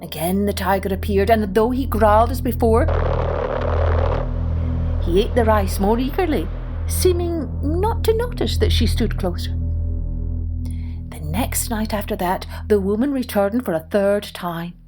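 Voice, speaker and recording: Slow-paced woman telling a children's story. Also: medium pitch, over music. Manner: expressive, hushed at suspenseful moments. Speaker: storyteller, podcast host. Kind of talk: scripted children's story narration.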